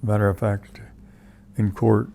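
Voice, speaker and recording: A man in his eighties, a longtime resident, recalling his childhood in a halting, storytelling way. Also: lowish voice, flat delivery, creaky, mellow, a little hiss or hum. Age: 80s. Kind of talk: interview recollection.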